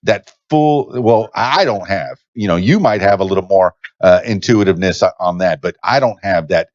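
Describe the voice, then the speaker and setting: A man's voice: low voice, moderate pace, no room sound. A man from Miami with Cuban parents, hosting a casual podcast chat, hesitant and stammering, often calling his guest "man". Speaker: podcast host. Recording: dry, dull lo-fi audio.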